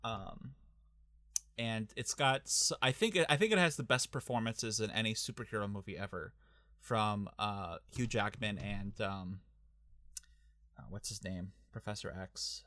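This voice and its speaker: A young man with a Midwestern American accent co-hosting a casual movie podcast, talking in halting bursts full of fillers and restarts. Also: lowish voice, sharp tone, no room sound. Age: young adult. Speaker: podcast co-host.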